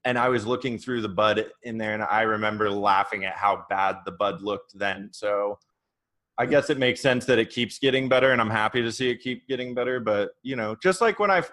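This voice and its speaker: A man of thirty from Oregon, a podcast guest, chatting in a relaxed, rambling way with many fillers. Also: lowish voice. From Oregon, USA. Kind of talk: casual chat.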